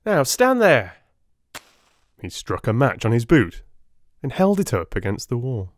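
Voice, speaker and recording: A man narrates a classic detective story with theatrical care. His medium-pitched voice is very expressive and varied, and the sound is dry and close. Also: normal speed, no room sound. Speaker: audiobook narrator.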